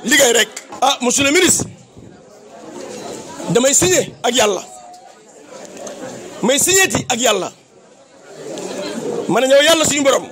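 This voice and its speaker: A man's voice: extremely high pitch, sharp tone. A man with a Senegalese accent giving an impassioned public praise speech, declamatory and emphatic, with repeated phrases. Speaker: political activist giving a tribute.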